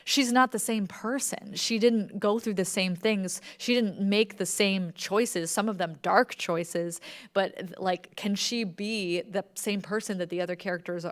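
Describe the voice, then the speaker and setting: A woman's voice: medium pitch, steady tone, measured pace. Woman co-presenting, conversational and informal. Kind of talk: informal public talk.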